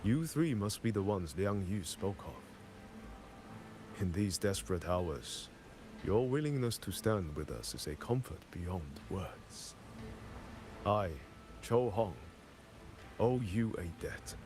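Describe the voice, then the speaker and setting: An actor playing a grave, dignified commander of a besieged city in a video game, speaking formally with measured authority. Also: male voice, on the low side, sing-song, noisy background. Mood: solemn gratitude. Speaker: military commander character. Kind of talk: scripted video game dialogue.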